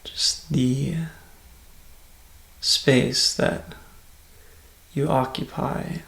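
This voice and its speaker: A man softly and calmly guiding a meditation in an ASMR style, in measured phrases that sometimes drop to near-whisper. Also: mid-range voice, echoey room. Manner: soft-spoken, breathy near-whisper at times, calm and soothing. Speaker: meditation guide.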